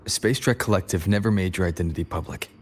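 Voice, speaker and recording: An actor voicing an earnest, concerned young male hero in a video game, measured and a little hesitant. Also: low-pitched, slight background noise, natural voice. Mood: reassuring, earnest.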